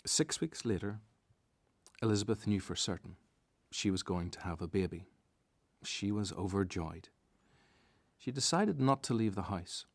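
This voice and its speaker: Casting: male radio-drama narrator, very low voice, close dry studio sound, scripted storytelling. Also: animated, measured pace, creaky, long pauses, no echo.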